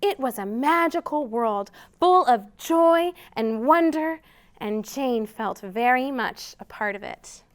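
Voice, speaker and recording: Female storytime presenter for young children: high-pitched, smooth tone, expressive delivery with simple prompts. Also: slow. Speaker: children's storytime host at a library. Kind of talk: storytime read-aloud and chat to young children.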